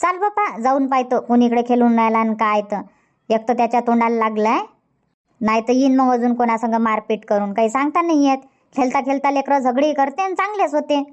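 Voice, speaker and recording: A woman plays a fretful, fed-up village parent in a cartoon comedy. The voice is very high, grumbling in repeated phrases in Vidarbha Marathi, over a dull, lo-fi dub. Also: fairly quick, natural voice. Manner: grumbling, repetitive.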